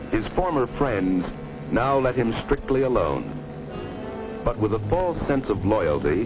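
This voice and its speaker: A man's voice: on the low side, poor audio. A man reading a scripted 1950s educational film narration in a grave, dramatic manner on a vintage soundtrack. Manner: grave, stark, dramatic delivery.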